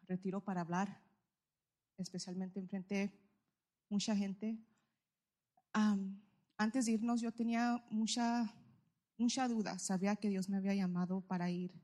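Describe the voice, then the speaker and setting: A woman giving a heartfelt, halting church testimony, pausing often with fillers. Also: medium pitch, warm tone.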